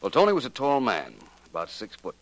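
An actor with an American accent playing a suave, assured detective in a 1940s radio drama, measured and pointed in delivery. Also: male voice, mid-range voice, wildly swooping pitch, no echo, poor audio. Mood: assured, accusing. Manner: measured, pointed, coolly deliberate. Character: suave, assured male amateur detective solving a murder. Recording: vintage 1940s radio recording.

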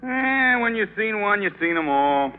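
A weary, plain-spoken elevator operator. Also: male voice, high-pitched, sing-song, unhurried, narrow, tinny audio. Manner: plain-spoken, casual slang. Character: weary working-class male elevator operator. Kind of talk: 1940s radio acting. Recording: scratchy old radio broadcast.

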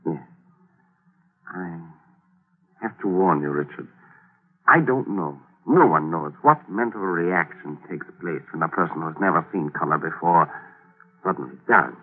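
An actor playing an obsessed scientist speaking in an earnest, intense way in a 1940s radio drama. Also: male voice, low voice, expressive, measured pace, pauses often. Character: obsessed middle-aged male scientist. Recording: tinny old radio broadcast.

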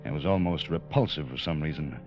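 A man's voice: rumbling low, a little fast, a little mellow, muffled recording, faint music behind. An actor playing a dutiful, uneasy first officer who narrates his own story in an old radio drama. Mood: brooding narration. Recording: band-limited vintage radio broadcast.

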